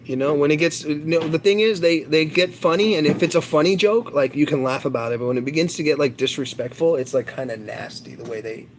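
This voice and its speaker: A man with a fairly high voice talks to his stream viewers in a loose, rambling way. He swears, boasts and jumps from one point to the next. There is a faint hiss of background noise under his voice. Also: fast talker, few pauses. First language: English. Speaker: livestreamer. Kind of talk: casual livestream chat.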